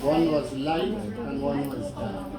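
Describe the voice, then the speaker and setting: A man works through family ties, stopping to search for names. His voice sits a little high and comes from a distance. An echoey room carries crowd chatter behind him. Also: slow. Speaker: relative at a family gathering. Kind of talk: casual family chat.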